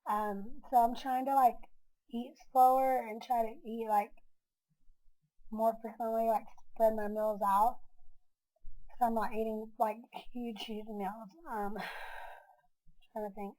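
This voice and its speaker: A woman's voice: a little high, flat delivery, slow, long pauses, mellow, rough recording. A young woman chatting casually in a vlog. Her voice is nasal and congested from a cold, and she rambles with many filler words. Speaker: vlogger. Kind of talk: casual chat.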